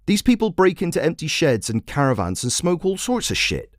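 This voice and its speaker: A man's voice: a little high, big pitch swings, fairly quick, no echo, studio quality, voice pushed up. A man voicing a defensive, bigoted radio caller in his forties, blunt and grumbling. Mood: angry, defensive.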